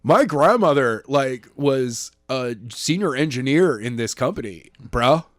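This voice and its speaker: A man bantering casually as a podcast co-host, with a playful, expressive and exclamatory way of talking. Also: medium pitch, unhurried, no echo, studio quality. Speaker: podcast co-host and chapter reader.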